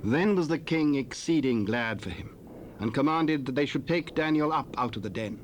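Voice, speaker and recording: Man narrating bible drama, measured and solemn, mid voice, lots of tape hiss. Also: medium pitch, lively intonation. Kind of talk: scripted scripture narration for audio drama. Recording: hissy cassette tape rip.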